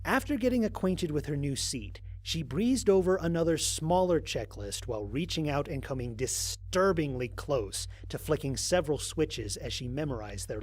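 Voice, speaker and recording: A man playing a weary, wry human astronaut narrating his mission log in an audio drama, with a dry, expressive storytelling delivery. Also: fairly high pitch, slightly rough, no echo.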